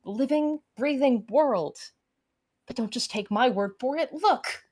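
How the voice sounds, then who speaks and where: A woman's voice: high-pitched, takes it slowly, darkish tone, husky, voice pushed up, exaggerated voice. Woman playing an earnest, impassioned orator. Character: earnest orator urging people to see a living world.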